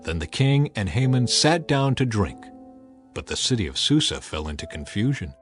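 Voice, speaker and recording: A man giving a dramatized scripted narration of scripture, with solemn, deliberate phrasing. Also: fairly low pitch, sing-song. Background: faint music.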